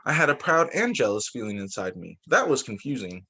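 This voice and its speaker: Man reading picture books aloud, lively pitch. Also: a little fast, breathy edge. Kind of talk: storybook read-aloud.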